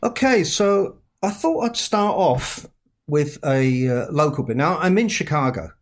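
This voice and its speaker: A man's voice: a little high, lively intonation, a little slow. A middle-aged man from England with a London accent, an author chatting on a podcast over a video call, teasing and laughing as he talks. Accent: London English. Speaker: author guest. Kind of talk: casual podcast chat.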